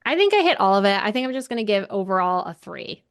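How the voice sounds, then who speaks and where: Woman, podcast co-host, casual chat, mid-range pitch, opinionated, emphatic, informal. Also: sing-song, quick pace, clear, resonant tone.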